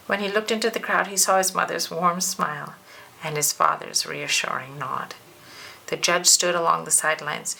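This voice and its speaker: A woman reads a children's story aloud in an expressive, deliberate way. Her voice is bright and forceful with noticeable fry, and there is faint noise behind her. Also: low voice, low-quality recording. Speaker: storybook narrator. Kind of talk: children's read-aloud, scripted narration.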